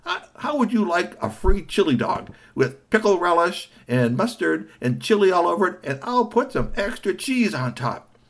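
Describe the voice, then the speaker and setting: A man voicing a cheerful, friendly man who sells chili dogs from a truck, talking in bouncy high exclamations. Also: very animated, normal speed, heavily acted. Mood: jolly.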